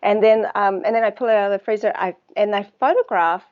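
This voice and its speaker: Female guest, New Zealand accent, mid pitch, thoughtful and unhurried, dull lo-fi stream sound. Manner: thoughtful, unhurried, searching for words with fillers. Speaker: guest, water researcher. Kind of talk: casual interview talk.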